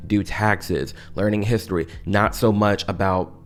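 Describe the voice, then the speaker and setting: A man's voice: lowish voice, fairly even intonation, a little slow. A young man giving emphatic, opinionated commentary straight to his viewers. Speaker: video commentator. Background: music.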